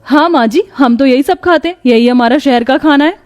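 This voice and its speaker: A woman's voice: high voice, a little fast, darkish tone, faint music behind, close to an everyday voice. A woman voicing a selfish young city daughter-in-law in a cartoon story, haughty and dismissive. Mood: breezy.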